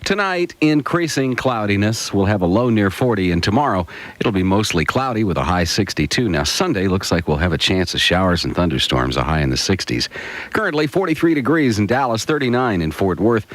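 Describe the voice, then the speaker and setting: A male radio DJ with a swooping, animated delivery. His voice is captured very dry and close, in the style of music radio announcing. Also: on the low side, brisk, dry recording. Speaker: radio disc jockey.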